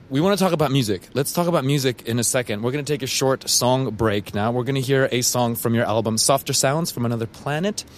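Fast-talking, chatty man radio host. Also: mid-range voice, a little hiss or hum. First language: Japanese. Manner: chatty, enthusiastic, quick. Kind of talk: conversational interview.

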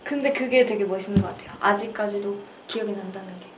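A woman's voice: mid-range voice, quick pace. A young woman hosting a podcast, chatting casually with her guests and reading out listener comments. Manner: chatty, laughs often, reads listener comments. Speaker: podcast host. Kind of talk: casual group chat. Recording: roomy, distant mic, noisy.

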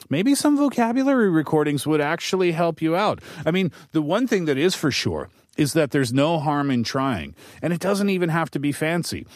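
Chatty male radio host, dry studio sound. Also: no room sound. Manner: relaxed, chatty, lively shifts in pitch. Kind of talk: casual radio talk. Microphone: studio microphone.

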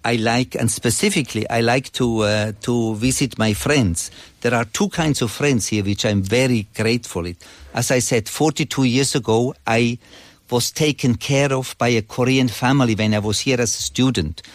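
A man in his sixties with a Swiss accent, speaking conversationally as an interview guest in halting, non-native English. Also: medium pitch, no room sound. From Switzerland. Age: 60s.

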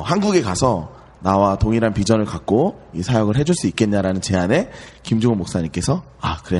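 A man's voice: medium pitch, darkish tone, slightly rough, in a large hall. A man in his thirties, a ministry staff guest, recounting history hesitantly with many fillers. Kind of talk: casual storytelling.

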